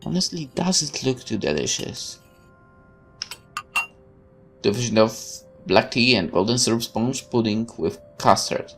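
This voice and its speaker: A woman with a strikingly deep voice reads story narration from a visual novel aloud as a let's play host. She drops in asides of her own, with faint music playing behind her. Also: animated, unhurried, pauses often.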